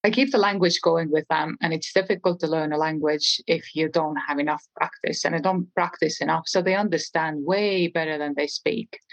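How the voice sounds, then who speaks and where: A woman's voice: sits low, dry recording, rough recording. A middle-aged woman from Estonia with an Estonian accent, talking conversationally as an interview guest. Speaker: interview guest, real estate trainer.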